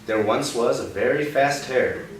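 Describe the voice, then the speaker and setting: A young man reading a picture book aloud to a child, pausing often to ask gentle questions about the words. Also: mid-range voice. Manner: expressive storybook reading broken by gentle teaching questions. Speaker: read-aloud host. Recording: roomy, distant mic with background noise.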